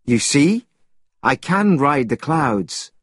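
A man's voice: fairly high pitch, big pitch swings, slow, fairly bright tone, breathy edge, dry recording, clean studio sound, full character voice. A man voicing a young male monkey king, earnest and proud.

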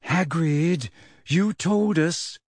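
A man's voice: high voice, animated, a little slow, husky, dry recording, voice pushed up, full character voice. A man voicing an anxious teenage girl in an audiobook, emotional, urgent and incredulous. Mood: frightened. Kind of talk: audiobook character voice.

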